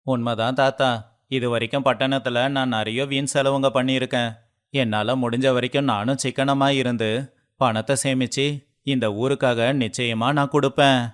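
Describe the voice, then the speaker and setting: A man's voice: mid-range voice, steady tone, normal speed, clear, resonant tone, lightly acted. A man voicing an earnest, respectful, humbled young city man in a moral story. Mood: sincere resolve.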